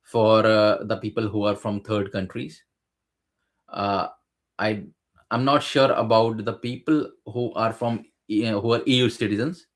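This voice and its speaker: Low-voiced man explaining with many fillers. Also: fairly even intonation, unhurried. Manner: hesitant, many fillers and restarts. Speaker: guest sharing exam experience. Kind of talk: casual conversational explanation.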